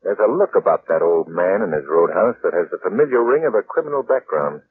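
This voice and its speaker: An actor playing a composed, astute gentleman sleuth, measured and decisive, in 1940s radio style. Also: deep voice, lively intonation. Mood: pondering, confident. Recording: tinny, faintly noisy vintage radio recording.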